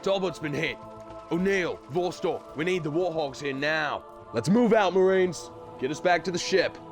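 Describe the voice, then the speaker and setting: An actor playing a commanding Marine captain in a sci-fi audio drama, giving clipped, authoritative orders. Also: male voice, on the high side, normal speed, over music, lightly acted. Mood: urgent.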